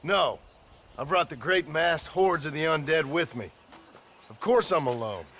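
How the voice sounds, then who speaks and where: Gruff, sardonic gunslinger, animated delivery. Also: male voice, on the high side, unhurried, a little hiss or hum. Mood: impatient. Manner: terse, sarcastic, blunt. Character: gruff, sardonic, short-tempered male western gunslinger. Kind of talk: dramatic video game cutscene acting.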